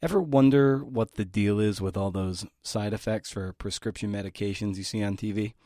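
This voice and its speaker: A man's voice: fairly low pitch, normal speed, warm tone, dry recording, clean studio sound. A man hosting a podcast, introducing essayists and their work with informal, playful asides. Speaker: podcast host.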